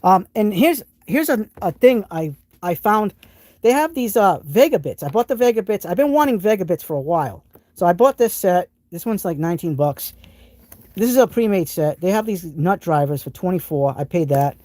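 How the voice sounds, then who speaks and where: A man's voice: high voice, lively intonation, normal speed, darkish tone, no room sound. A man with a New England accent hosting a live tool stream, showing off tools and answering chat in an enthusiastic, rambling way with many restarts. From New England, United States.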